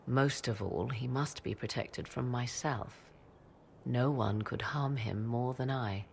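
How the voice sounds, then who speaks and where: An actress voicing a mysterious, secretive mage mother in a video game, speaking in a measured, formal, archaic way. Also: very deep voice, creaky, a little mellow, a little hiss or hum, heavily acted. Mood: grave. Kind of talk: video game cutscene dialogue.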